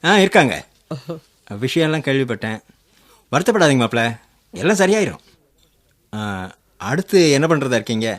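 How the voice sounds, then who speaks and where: An actor playing a kind, humble villager reassuring his in-laws in a warm manner in a Tamil radio drama. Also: mid-range voice, lively intonation, normal speed, pauses often, close to an everyday voice. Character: kind, humble village younger brother-in-law offering shelter.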